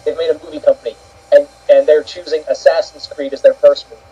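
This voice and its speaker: A man's voice: fairly high pitch, little pitch movement, fast, warm tone, slightly rough, highs cut off. A man chatting casually as a panelist in an online discussion. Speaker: panelist on an online opinion show. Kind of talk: casual chat.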